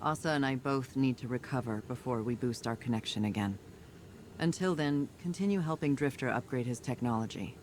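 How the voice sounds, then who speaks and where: A woman's voice: a bass voice, fairly quick. An actress playing a tough, determined female field commander in a video game, speaking in a terse, resolute military manner. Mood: grave, resolute. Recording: loud music and noisy game ambience behind.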